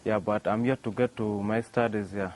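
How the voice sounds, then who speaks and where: A man's voice: fairly low pitch, fairly even intonation, normal speed, a little mellow. A young man, answering interview questions hesitantly, with many restarts and a filler "yeah". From South Sudan. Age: young adult. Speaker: interview guest, young inventor. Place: outdoors by a workshop. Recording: faint outdoor noise.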